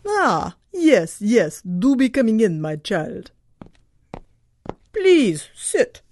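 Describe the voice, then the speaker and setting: A woman acting a calculating yet kindly-seeming mentor, with a husky voice and stilted, oddly phrased formal speech, in an amateur audio drama. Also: on the high side, sing-song, very slow, darkish tone, no echo, exaggerated voice. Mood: kindly, welcoming. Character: kindly-seeming, calculating Sith mentor, quaintly foreign-sounding.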